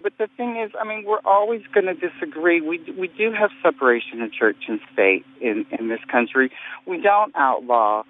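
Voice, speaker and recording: A man calling in to a radio debate, speaking in a halting, hesitant way with frequent restarts. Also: fairly high pitch, animated. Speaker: caller. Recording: phone-line call.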